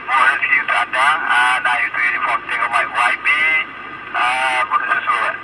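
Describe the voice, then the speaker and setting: A man's voice: medium pitch, completely flat delivery, normal speed. Distant Malaysian man on noisy radio. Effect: two-way radio transmission. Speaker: amateur radio operator at a base station. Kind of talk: casual two-way radio chat. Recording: distant radio audio, loud vehicle noise.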